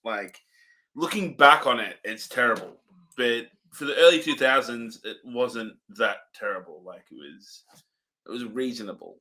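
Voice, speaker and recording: A man's voice: medium pitch, lively intonation, normal speed, pushed and bright, rough recording. A man co-hosting a casual movie review chat, musing and hesitant as he weighs his opinions.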